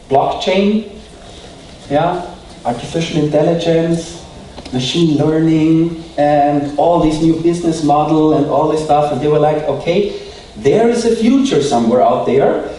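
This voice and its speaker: A man from Austria with an Austrian German accent, giving a relaxed keynote talk with some hesitant fillers. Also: on the high side. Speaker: conference speaker. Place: large hall. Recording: echoey hall from far off.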